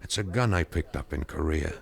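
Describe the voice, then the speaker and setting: An actor playing a vengeful male war veteran in a 1970s radio drama, calmly and persuasively planning a murder. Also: a bass voice, brisk, gravelly, a crowd in the background. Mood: scheming coldly. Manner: cold, persuasive, matter-of-fact about killing.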